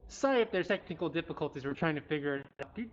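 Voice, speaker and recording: Woman livestream host, low voice, informal and affectionate with animals, dull audio with faint music behind. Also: animated, fast talker, slight background noise. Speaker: cat cafe livestream host. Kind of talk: casual livestream chat. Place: indoors in a cat cafe.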